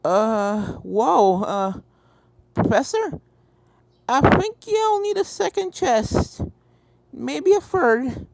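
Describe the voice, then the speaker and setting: A reader voicing an excitable young filly ghost hunter, a cartoon pony in a fan fiction reading. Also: high-pitched, drawn-out words, gravelly, pauses often, no room sound, pitched above the performer's own voice, heavily acted. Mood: stunned. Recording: game music behind the voice.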